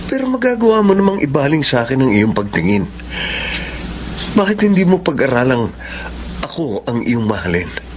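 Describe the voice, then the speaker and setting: A man's voice: mid-range voice, huge pitch swings, moderate pace, pauses often. An actor playing an earnest, opportunistic young man, pleading his case in a radio drama. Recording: noisy ambient background behind voice.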